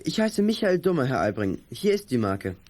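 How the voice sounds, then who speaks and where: Actor as animated, eager teenage schoolboy detective. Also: male voice, mid-range voice, normal speed, close to an everyday voice. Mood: polite, uncertain. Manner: eager, polite, confident. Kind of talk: 1980s children's audio drama acting.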